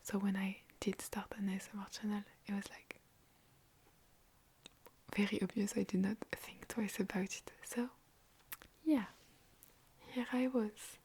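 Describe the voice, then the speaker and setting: A woman's voice: mid-range voice, flat delivery, unhurried, long pauses, slightly rough. Whispering young French-accented woman. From France. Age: young adult. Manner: soft unvoiced whisper, rambling and chatty. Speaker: ASMR creator. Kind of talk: whispered ASMR Q&A. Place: her bedroom. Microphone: phone.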